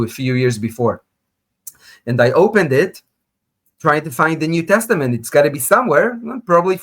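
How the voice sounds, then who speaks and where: A man from Israel with an Israeli accent, a native Hebrew speaker, tells his personal story as an interview guest over a video call. He speaks in an animated way, with small grammar slips. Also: on the high side. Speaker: guest scholar.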